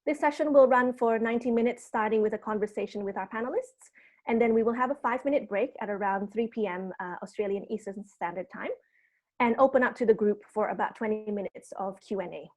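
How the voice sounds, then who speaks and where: A woman's voice: on the high side, darkish tone. Woman in her early thirties hosting a video call. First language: Indonesian. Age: early 30s. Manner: measured and orderly, slowing down for access descriptions. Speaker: host and program producer. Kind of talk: hosting a webinar, reading housekeeping notes.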